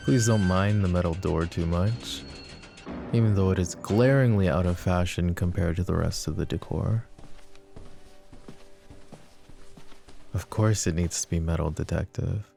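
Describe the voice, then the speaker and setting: A man voicing a low, gravelly mafia boss. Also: soft background music. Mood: courteous. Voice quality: soft, smooth and taunting. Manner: mocking, slow and threatening, with sweet pet names. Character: possessive menacing male mafia boss. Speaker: voice actor. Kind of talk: roleplay ASMR audio drama.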